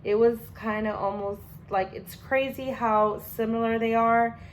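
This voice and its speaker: A woman's voice: a little high, steady tone, measured pace, a little hiss or hum. A young adult woman, a language student, gives a casual spoken class presentation in a chatty way, full of fillers and restarts.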